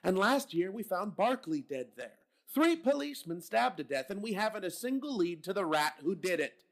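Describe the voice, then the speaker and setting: An actor playing a gruff police captain who grills his officers with blunt sarcasm. The voice sits a little high and swings widely up and down as he presses them. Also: very animated, normal speed, exaggerated voice. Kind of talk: old-time radio drama reading.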